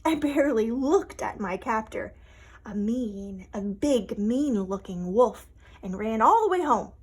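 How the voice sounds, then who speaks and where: Storybook hen, female reader: high, unhurried, expressive, chatty, shaken yet relieved. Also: slightly rough. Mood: anxious, breathless. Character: relieved, chatty mother hen writing a letter, still shaken. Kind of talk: dramatic storybook read-aloud.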